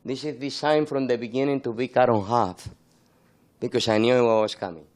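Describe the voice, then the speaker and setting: An older man with a Spanish accent speaks as an interviewed artist, laying out how his work is done. His voice sits at an ordinary male pitch. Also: fairly even intonation, moderate pace, a little mellow. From Spain, born in Andalusia, grew up in Madrid. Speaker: sculptor being interviewed.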